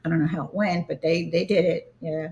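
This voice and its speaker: A woman's voice: deep voice, steady tone, a little fast, darkish tone. A woman chatting casually while cooking, telling family stories and laughing easily. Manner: giggly, storytelling.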